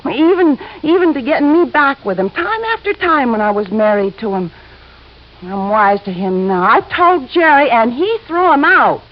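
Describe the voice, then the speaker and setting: A young working-class widow speaks in a fairly high voice. She is slangy, halting and defensive, very much the gun moll of old radio crime drama. The sound is that of a muffled vintage broadcast. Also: expressive. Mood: bitter. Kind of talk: 1950s radio drama acting.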